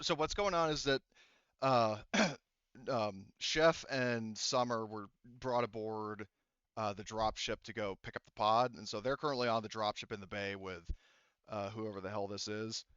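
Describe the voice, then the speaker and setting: Male game master, smooth tone, casual halting narration, lo-fi online call sound. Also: on the low side, a bit bright, no echo. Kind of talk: improvised tabletop game narration. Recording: dull lo-fi voice-chat audio.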